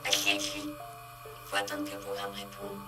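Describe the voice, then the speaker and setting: BarbiCop, a very deep flat robot. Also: female voice, moderate pace. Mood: literal. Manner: flat mechanical delivery. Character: vain female household robot, cold and literal. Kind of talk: comedy parody dub. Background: loud music.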